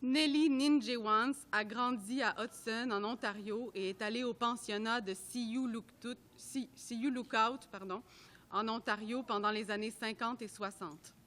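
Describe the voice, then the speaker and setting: A woman reading a report aloud at a public event, in a measured, careful way that sometimes trips over names. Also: fairly high pitch, a bit bright. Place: art gallery at a live event.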